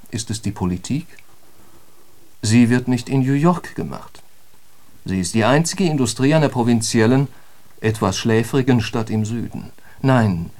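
An actor playing a courteous philosopher-lecturer in a literary radio play, politely didactic and fond of rhetorical questions. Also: male voice, medium pitch, darkish tone, natural voice. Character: courteous male philosopher-lecturer, eagerly dissecting riddles.

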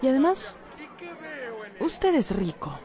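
An actress playing a restless, yearning doctor's wife in a radio drama, genteel and restrained. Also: female voice, high voice, wildly swooping pitch, slow, a crowd in the background, exaggerated voice. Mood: coy, bitter.

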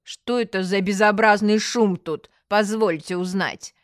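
A woman's voice: medium pitch, steady tone, measured pace, no echo, clean studio sound, natural voice. Woman acting a grumpy, sarcastic neighbour woman. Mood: irritated sarcasm. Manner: disgruntled, caustic, grumbling.

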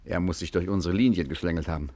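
An actor playing a cool, commanding gangster boss in a 1950s German radio crime satire. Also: male voice, deep voice, steady tone, fast talker, a little mellow, a little hiss or hum. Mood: feigned, official. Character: cool, cocky gentleman gangster boss, ordering his crew around.

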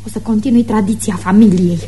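A woman's voice: mid-range voice, steady tone, warm tone, slight background noise, natural voice. An actress playing a resentful, cheeky teenage daughter in a radio play, with a girlish, pert, challenging delivery. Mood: resentful, demanding. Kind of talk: radio theatre acting.

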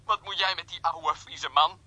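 A man's voice: fairly high pitch, normal speed, pushed and bright, no room sound. An actor playing a pushy, jealous, petulant jilted man who stammers and repeats his demands through a telephone filter. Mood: angry, bossy.

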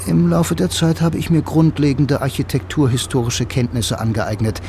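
A man's voice: medium pitch, moderate pace, warm tone, a little hiss or hum, natural voice. An actor playing a blind bank clerk who narrates his own story in a dry, laconic, musing way for a radio drama. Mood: wry, musing. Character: blind male bank clerk, wry film noir loner.

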